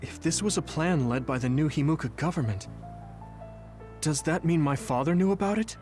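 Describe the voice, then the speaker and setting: A man's voice: a little high, normal speed, a little mellow, soft background music, close to an everyday voice. A man playing an earnest, straightforward young recruit in a video game. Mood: serious. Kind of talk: English video game voice acting.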